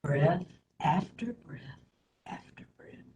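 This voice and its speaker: A woman instructor leading a guided meditation in a soothing, gentle manner, with hushed phrase endings. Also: low-pitched, expressive, slow pace. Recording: roomy echo, faint background noise.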